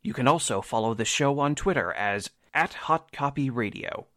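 A man serves as announcer for a radio drama. He reads his script formally and in a measured way. His voice sits at an average pitch. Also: animated, fairly bright tone.

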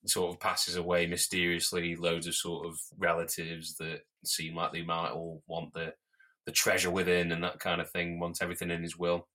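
A man's voice: sits low, normal speed. A man co-hosting a podcast, chatting casually and animatedly, throwing in silly voices. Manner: animated, puts on silly voices when quoting people. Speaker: podcast co-host. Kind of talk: casual chat.

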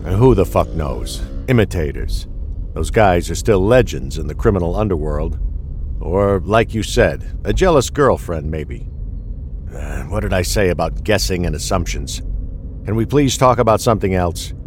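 An actor playing a gruff, weary older police detective with a husky voice in an audio drama. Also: male voice, low voice, expressive, moderate pace, darkish tone, faint music behind. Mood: bitter, irritable.

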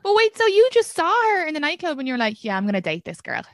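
A woman hosts a chatty podcast, her voice a little high and recorded close and dry. She asks eager, curious questions and reacts quickly. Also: wildly swooping pitch, no room sound. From Ireland. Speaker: podcast host. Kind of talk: casual interview chat.

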